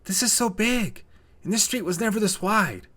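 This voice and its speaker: A man's voice: high voice, lively intonation, normal speed, bright, forceful tone, voice pushed up, full character voice. A man voicing a timid but eager small boy in a children's story, speaking in an earnest, wide-eyed way. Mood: worried.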